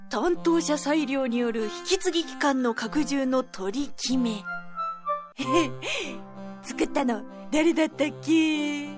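A woman playing an easygoing, gently teasing young male colleague in a radio drama, with a soft, casual manner. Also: high voice, takes it slowly. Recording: music under the voice.

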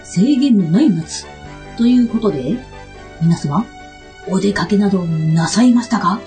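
A woman's voice: mid-range voice, expressive, unhurried, dark tone, faint music behind. A woman hosting a radio show in a polite, playful, chatty way from prepared material. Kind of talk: scripted, chatty host monologue.

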